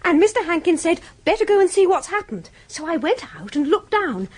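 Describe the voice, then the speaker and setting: An actress playing a polite, earnest office typist with a British accent in a radio drama, prim and a little gossipy. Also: female voice, extremely high pitch, very animated, fairly quick, darkish tone. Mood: earnest, excited.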